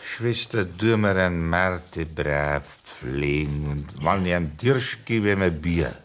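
A man's voice: deep voice, slow pace. An actor playing a tipsy, talkative man with a Bavarian accent, rambling and stammering in a 1960s radio play.

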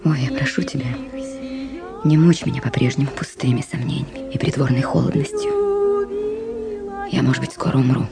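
A woman's voice: very deep voice, huge pitch swings, fairly quick, vocal fry, pauses often, full character voice. An actress playing an anguished, devoted young noblewoman in a Russian radio drama, with an intimate, pleading delivery. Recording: music behind the voice.

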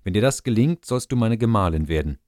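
A man reading the lines of a greedy king, firm and a little louder. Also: fairly low pitch, animated, brisk, no echo, studio quality, lightly acted.

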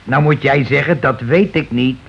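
An actor playing a bossy, calculating working-class husband in a Dutch radio play, blunt and argumentative in colloquial speech. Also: male voice, medium pitch, normal speed, fairly bright tone, natural voice. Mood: scheming. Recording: dull, noisy old radio recording.

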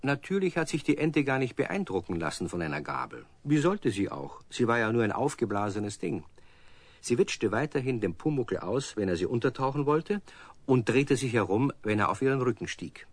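A man's voice: mid-range voice, sing-song, normal speed, no echo. A man narrating a children's radio play as a scripted storyteller.

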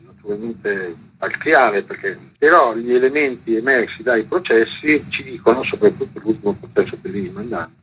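A man giving careful, articulate explanations as a journalist interviewed on the radio, in a measured way. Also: lowish voice, a bit bright. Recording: muffled, noisy radio line.

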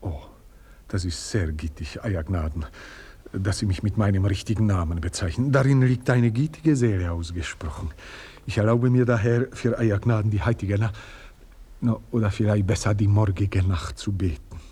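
A man's voice: on the low side, moderate pace, warm tone, slightly rough. An actor playing a fussy, servile male servant who stammers and talks with stiff, overly formal deference, in a radio play.

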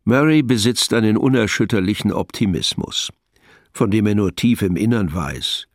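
A man narrating a scripted radio play in a measured, matter-of-fact manner. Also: fairly low pitch, lively intonation, no echo, studio quality.

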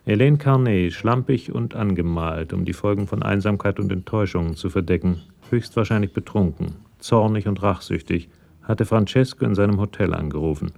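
A man narrating a scripted crime radio play in a measured, matter-of-fact storytelling manner. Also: lowish voice, gravelly, darkish tone.

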